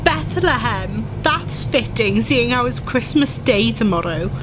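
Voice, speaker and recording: A woman's voice: a little high, expressive, background noise. An actress playing an argumentative young student in a radio play, sarcastic and exasperated with her father. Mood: testy. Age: young adult. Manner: exasperated, sarcastic, quick to argue. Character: spirited, argumentative young Welsh university student daughter. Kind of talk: 1940s-style radio play acting.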